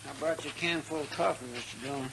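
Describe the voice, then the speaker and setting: A folksy, earnest rural American western deputy. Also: male voice, on the high side, fairly even intonation, fast talker, creaky. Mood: puzzled, obliging. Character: loyal, simple, folksy western deputy, earnest and fretful man. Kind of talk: 1950s western radio drama acting. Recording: hissy 1950s radio recording.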